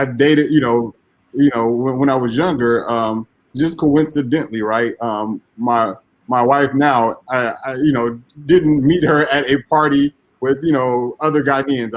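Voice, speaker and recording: A man on a panel discussion, speaking haltingly with many "you know"s and repeats. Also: mid-range voice, poor audio. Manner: halting, lots of fillers and repeated words. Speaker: panel guest. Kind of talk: casual discussion.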